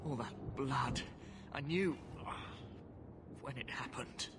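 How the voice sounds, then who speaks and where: An actor playing a wounded, dying soldier who speaks weakly and pleadingly. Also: male voice, mid-range voice, background noise, natural voice. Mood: resigned. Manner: weak, pleading, slow. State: wounded, weakening. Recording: game cutscene with loud score.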